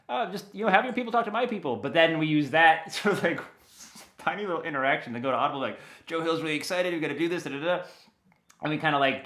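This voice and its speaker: A middle-aged man chatting casually as an interview guest, telling anecdotes in an animated, informal, loosely rambling way. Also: fairly high pitch, reverberant. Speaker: guest, audio dramatist and producer.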